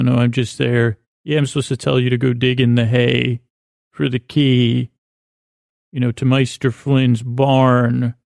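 Gravelly guy doing a rambly sleep podcast, kinda monotone, stammers and trails around, halting bedtime talk. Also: medium pitch, no echo, studio quality. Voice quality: creaky, gravelly. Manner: meandering, halting, often stammers and repeats words. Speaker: podcast host.

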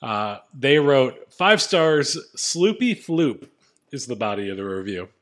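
A man with a deep voice co-hosts a casual comedy podcast. He talks in a laid-back way and reads listener reviews aloud. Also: very animated, slow, fairly bright tone. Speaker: podcast co-host.

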